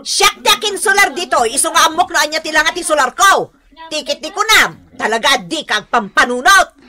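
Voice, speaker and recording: A woman's voice: high voice, lively intonation, fairly quick, pushed and bright, heavily acted. A woman with an Ilocano accent playing a haughty, cruel-tongued neighbor who berates and insults, in a radio drama. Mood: scornful, angry.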